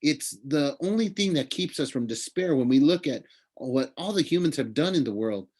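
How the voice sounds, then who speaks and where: A man's voice: fairly high pitch, animated, moderate pace, a little mellow, voice pushed up. A man voicing an earnest, easily indignant young male satyr in a storybook reading, lecturing in a plaintive way. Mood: earnest, hopeful.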